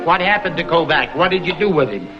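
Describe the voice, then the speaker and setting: A man's voice: on the high side, lively intonation, fast, close to an everyday voice. A man playing a troubled, reluctant accomplice in a vintage TV crime drama, anxious and protesting. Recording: dull vintage TV soundtrack, background music.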